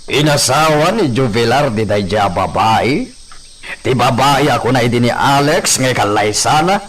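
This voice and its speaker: A man's voice: mid-range voice, animated, unhurried, fairly bright tone. A man playing a demanding hacienda patriarch in a radio drama, with an authoritative, probing way of talking. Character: demanding hacienda patriarch, questioning his servant. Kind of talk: Ilocano radio drama acting.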